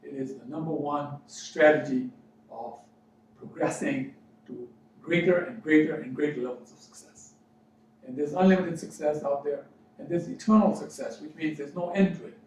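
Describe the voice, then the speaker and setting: A man from Fiji, educated in New Zealand, gives a motivational spiritual talk as a coach, speaking deliberately with emphatic repetition. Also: on the high side, a little mellow. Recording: very echoey room, distant mic, dull sound, faint noise.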